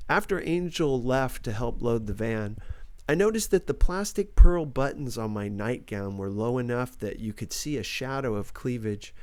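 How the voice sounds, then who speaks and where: A man's voice: medium pitch, animated, moderate pace, a little mellow, no room sound. A man narrates a first-person novel as an audiobook. His voice sits in a middle register, and the recording is dry and close, with very little room sound.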